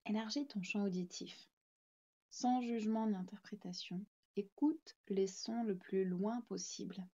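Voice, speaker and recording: A woman narrates a guided relaxation session as a yoga nidra teacher. Her voice is mellow and relaxed, set at a medium pitch. She gives gentle, soothing instructions in short phrases, and the recording sounds a little dull. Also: measured pace.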